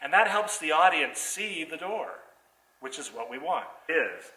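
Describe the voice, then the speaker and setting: Guy teaching theatre step by step, bright sharp voice, kinda far from the mic, echoey. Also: a little high, sing-song, normal speed. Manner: explains movements step by step. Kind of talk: hands-on demonstration lesson. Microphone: distant computer microphone on a video call.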